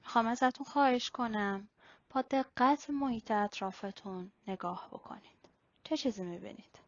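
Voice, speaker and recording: A woman leading a guided body-scan meditation in a slow, instructional way with pauses. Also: fairly high pitch, dry recording. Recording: slightly dull, lo-fi recording.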